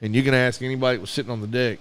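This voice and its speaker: A man's voice: mid-range voice, steady tone, very fast. A middle-aged man with a Southern American accent, co-hosting a casual radio podcast and telling stories earnestly. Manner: earnest, storytelling, with repeated restarts. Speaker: podcast co-host.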